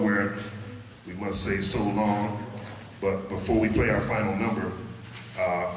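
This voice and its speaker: A man's voice: fairly low pitch, a bit bright, slight background noise. A man directing a big band, speaking to a concert audience on a handheld mic, emphatic and chatty with fillers. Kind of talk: stage announcement to a concert audience. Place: large wooden concert hall stage. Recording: echoey hall, recorded from far off.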